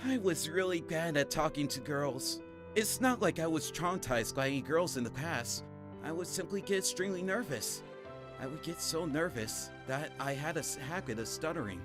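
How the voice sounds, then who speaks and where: A man voicing a shy, bookish teenage high school boy in a manga dub, self-effacing and expressive. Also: normal speed, lightly acted. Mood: self-deprecating. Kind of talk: manga dub narration and dialogue. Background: background music.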